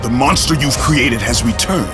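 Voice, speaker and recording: A man's voice: mid-range voice, expressive, moderate pace, close to an everyday voice. An actor playing a grim, vengeful Spartan warrior man who speaks with bitter intensity in a game cutscene. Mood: defiant. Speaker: game character. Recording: game cutscene over music.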